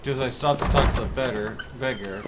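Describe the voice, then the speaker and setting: A man's voice: mid-range voice, brisk, heavy background noise. A man streaming a game with casual live commentary. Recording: loud game music behind.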